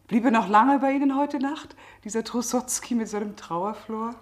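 A woman's voice: fairly high pitch, moderate pace, natural voice. An actress playing a worldly middle-aged society lady in a 1960s German radio drama, playfully ironic and inquisitive. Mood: amused, curious.

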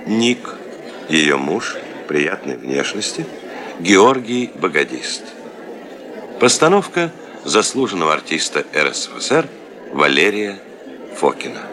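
A male announcer narrates a radio play in a formal, measured way. His voice is very low, with lively, wide-moving intonation. Crowd noise is clearly audible behind him.

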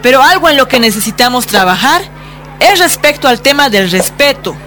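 High-voiced, earnest schoolteacher. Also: female voice, lively intonation. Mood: formal, earnest. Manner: formal, measured, admonishing. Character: earnest 35-year-old schoolteacher addressing an unruly class. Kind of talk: radio drama acting. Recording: music and classroom chatter behind.

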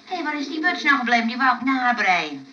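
Radio comedy role: well-meaning bossy nurse, sharp forward tone, hesitant chatty phrasing, dull noisy vintage recording. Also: female voice, a little high, big pitch swings, a little fast. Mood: brisk, bossy. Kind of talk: Dutch radio comedy play acting. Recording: noisy, muffled old radio-play recording.

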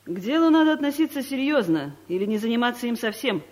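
A woman's voice: a little high, sing-song, fast talker, a little mellow. A bossy, categorical woman director in a vintage studio radio comedy. She speaks in curt, lecturing sentences and leaves no room for reply. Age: middle-aged. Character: domineering middle-aged woman office director. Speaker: office director.

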